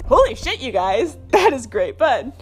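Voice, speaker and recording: A woman's voice: sits high, sing-song, unhurried, music in the background. Casting: female podcast host, casual and rambling, irreverent, self-amused delivery. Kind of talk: casual stream-of-consciousness chat.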